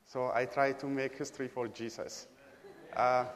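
A man from Ethiopia whose first language is Amharic, preaching a sermon as a guest preacher in a church, speaking with an Ethiopian accent in a measured, halting way with frequent pauses. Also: mid-range voice, level tone, in a large hall, background noise. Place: church sanctuary.

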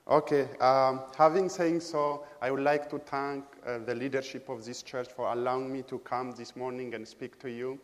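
A man from Ethiopia whose first language is Amharic, preaching a sermon as a guest preacher in a church, speaking with an Ethiopian accent in a measured, halting way with frequent pauses. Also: medium pitch, in a large hall. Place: church sanctuary.

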